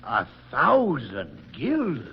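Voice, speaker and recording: An actor playing an anxious, excitable male town councillor who exclaims and frets, in 1940s radio acting on a dull old broadcast. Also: mid-range voice, slow, husky, in a large hall, exaggerated voice. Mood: eager. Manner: jittery, exclaiming.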